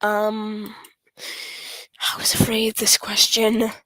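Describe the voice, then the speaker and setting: A woman's voice: mid-range voice, completely flat delivery, slow pace, a bit bright, no room sound, poor audio. A woman streamer reading a visual novel aloud, often stumbling over the words and correcting herself.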